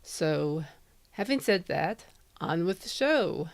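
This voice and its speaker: A woman's voice: on the low side, animated, slow, creaky, dry recording. A woman hosting a podcast, giving a plain introduction into a close microphone. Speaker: podcast host. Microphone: close handheld dynamic USB microphone.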